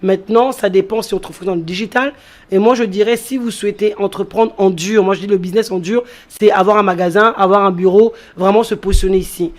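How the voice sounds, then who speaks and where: A woman's voice: mid-range voice, fairly even intonation, brisk. A woman who grew up in France, talking as a guest in an interview and explaining things step by step, repeating key phrases for emphasis. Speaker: entrepreneur guest. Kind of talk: interview talk.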